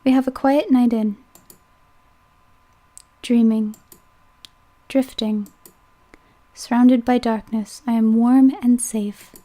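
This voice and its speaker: A woman's voice: on the high side, steady tone, slow pace, pauses often, mellow. A woman reading a visual novel aloud as a let's play host, breaking off into chatty asides and playful self-corrections. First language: English.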